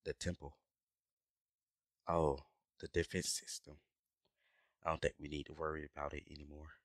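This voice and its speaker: A man voicing a gruff, protective dragon adventurer in an audio roleplay, talking urgently and with exasperation to his companion. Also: rumbling low, level tone, moderate pace, no echo, studio quality. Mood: concerned, protective. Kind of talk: scripted ASMR audio roleplay acting.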